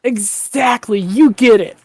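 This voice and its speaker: A woman's voice: fairly high pitch, slow, a little mellow. A woman voicing a scheming spider who runs a circus, tossing off threats casually. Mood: dismissive. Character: scheming spider circus boss, sly and menacing.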